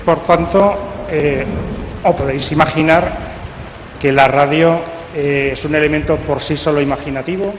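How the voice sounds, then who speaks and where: A man giving a conference talk and leading a discussion, speaking deliberately with pauses. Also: fairly high pitch, fairly even intonation, dull audio. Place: conference hall. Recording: echoey hall, faint noise.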